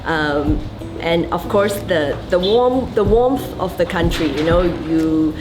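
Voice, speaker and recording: Interviewee: Malaysian woman, slightly low voice, chatty, music bed underneath. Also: expressive, takes it slowly, low-quality recording. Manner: chatty, with fillers and light laughter. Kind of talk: interview answers. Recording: background music throughout.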